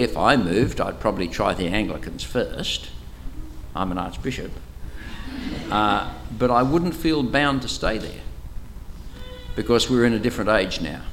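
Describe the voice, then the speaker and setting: A man's voice: mid-range voice, fairly bright tone, slight background noise. An older man with an Australian accent and a powerful voice, leading an interactive Bible study at a church camp in a chatty, teacherly way with frequent hesitations. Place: church camp meeting room. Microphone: microphone, sometimes moves away from it.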